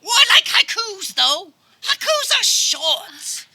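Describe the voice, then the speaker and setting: A woman playing a dim, cowardly, excitable male suitor in a live radio farce, with a hesitant, literal-minded delivery. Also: very high-pitched, heavily acted. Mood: flustered, pleased. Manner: hesitant, literal-minded, exclamatory, drawn-out words. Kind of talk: comic radio theater acting before a live audience. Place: backyard, before a live audience. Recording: noisy live backyard recording.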